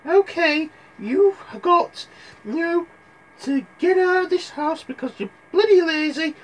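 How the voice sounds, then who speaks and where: Man voicing a squeaky nagging sow, bossy, scolding. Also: animated, a little slow, poor audio. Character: shrill nagging mother pig.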